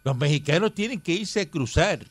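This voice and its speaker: A man's voice: a little high, lively intonation, a little fast, dry recording. A man from Cuba hosting a casual radio talk show, rambling and emphatic with many fillers. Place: radio studio.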